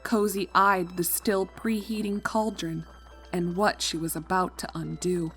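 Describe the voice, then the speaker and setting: A woman reading fan fiction as narrator, expressive and dramatic, leaning on key words. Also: fairly low pitch, measured pace, faint music behind. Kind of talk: expressive scripted story narration.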